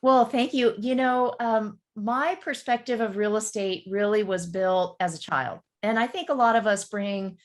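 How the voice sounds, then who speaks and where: A woman in her fifties recounting her story as a podcast guest, chatty and animated. She speaks straight to listeners and calls them folks. Her voice sits in an ordinary middle pitch for a woman. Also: a little slow, hardly any pauses. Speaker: guest, real estate broker. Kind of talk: casual storytelling interview.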